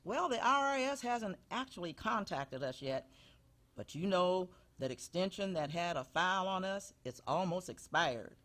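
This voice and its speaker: A man's voice: high-pitched, animated, normal speed, fairly bright tone. A man playing an anxious, folksy elderly mother who guilt-trips over the telephone in a live comedic radio show. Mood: fretful. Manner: folksy, martyred, guilt-tripping. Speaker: stage performer in a benefit show.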